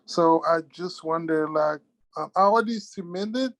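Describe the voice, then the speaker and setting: A man's voice: on the high side, a little slow, fairly bright tone. A man from Haiti with a Haitian accent, speaking on a video call and searching hesitantly for words as he explains his situation. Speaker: webinar participant asking a question.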